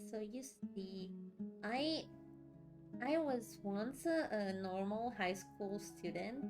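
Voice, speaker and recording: A woman streamer giving casual game commentary in a joking, hesitant, rambling way. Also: medium pitch. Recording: clear game music behind.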